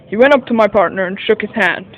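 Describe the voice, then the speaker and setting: High-voiced man playing a detective's sidekick, radio mystery. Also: fast, a bit bright, muffled recording, soft background music. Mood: measured recounting. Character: 1940 American detective's sidekick, narrator recounting a case. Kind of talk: scripted first-person radio mystery narration.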